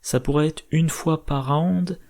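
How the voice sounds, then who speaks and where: Slightly hoarse man explaining card strategy. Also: on the high side, fairly even intonation, a little slow, darkish tone. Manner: methodical, thinking aloud with hesitations. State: slightly hoarse, irritated throat. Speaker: board game channel host.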